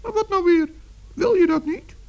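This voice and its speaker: A man voicing a cheerful, fussy, kindly little gnome in squeaky falsetto for a children's radio play. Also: moderate pace, dark tone, dull audio. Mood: puzzled, amused.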